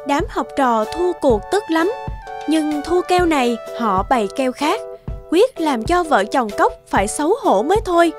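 High-pitched woman narrating a fairy tale. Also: animated, measured pace. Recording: music under the voice.

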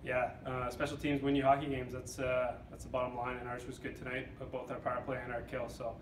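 A man's voice: mid-range voice, little pitch movement, fairly quick, a little hiss or hum. A young hockey captain in his twenties giving a plain, matter-of-fact postgame interview with many fillers, recorded in an indoor press room on a distant camera mic. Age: 20s. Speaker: team captain, hockey player. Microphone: far camera mic. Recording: echoey room, off-mic, crowd behind.

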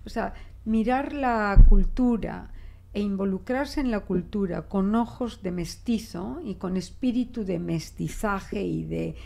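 Brief: older woman from Spain, Spain-accented Spanish, unhurried, slightly raised pitch, gravelly texture, reflective studio guest. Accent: Spanish from Spain, adapted toward Mexican Spanish. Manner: expressive, reflective, with frequent restarts and gestures. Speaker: guest linguist. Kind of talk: conversational interview. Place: small studio office, indoors. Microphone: desk microphone.

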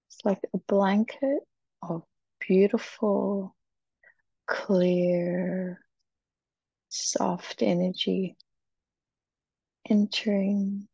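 A woman leading a guided meditation in a soft, breathy, soothing voice, with short phrases and long pauses. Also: mid-range voice, dry recording. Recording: dull, muffled, dry recording.

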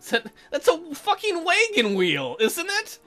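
A man's voice: very high-pitched, wildly swooping pitch, measured pace, bright, forceful tone, faint music behind. A man hosting a let's play, commentating with crude, profane jokes and reading dialogue aloud. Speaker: gaming video host.

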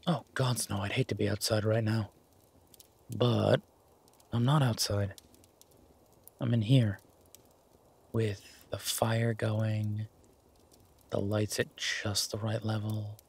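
A man playing an affectionate, easygoing werewolf boyfriend in an intimate roleplay audio, with a husky, breathy voice and a soft, teasing manner. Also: on the low side, flat delivery, slow, long pauses, exaggerated voice. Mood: content, romantic. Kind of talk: intimate boyfriend roleplay audio, casual one-sided chat.

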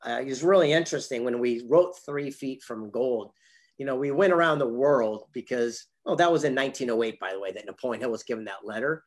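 A man in his fifties with a husky voice, telling motivational anecdotes emphatically on a video call and acting out the dialogue. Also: medium pitch, fairly quick. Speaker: motivational speaker and author, interview guest. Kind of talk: animated motivational storytelling in an online interview. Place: indoor home office with memorabilia wall. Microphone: video call, computer mic.

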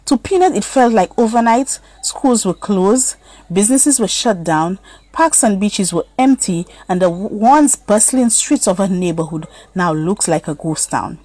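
A woman's voice: mid-range voice, expressive, unhurried, darkish tone, low-quality recording. A woman narrating a children's story as a scripted read-aloud.

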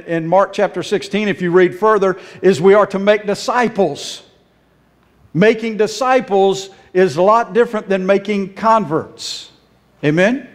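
A man in his fifties with a Southern American accent, preaching a sermon on a church stage and moving around as he speaks. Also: high voice. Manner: earnest, emphatic, pacing the stage and gesturing. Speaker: pastor. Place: church sanctuary stage, indoors.

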